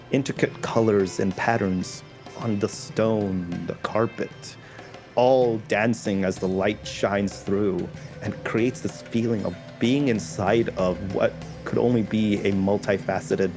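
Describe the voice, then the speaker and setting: A man playing a tabletop roleplaying game, describing a luxurious throne room in long, unhurried detail with casual asides. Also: on the low side. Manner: lush, slow scene-painting with casual asides. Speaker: player describing his character's throne room.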